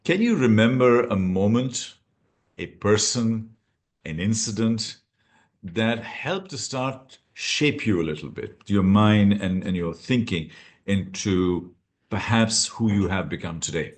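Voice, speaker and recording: A man's voice: on the low side, expressive, slow. A man hosting a podcast interview, asking long questions and searching for words as he goes. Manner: rambling, searching questions with fillers. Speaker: podcast host.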